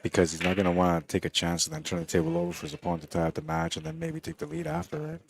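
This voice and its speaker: Low gravelly male pool commentator. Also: rapid-fire. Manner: relaxed, conversational, quiet low talking. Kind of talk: live play-by-play commentary.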